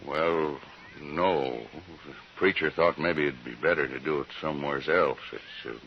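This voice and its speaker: An actor playing a slow-spoken, proud, lonely homesteader with a rural American accent in a western radio drama. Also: male voice, rumbling low. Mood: sheepish. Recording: muffled, hissy old radio recording.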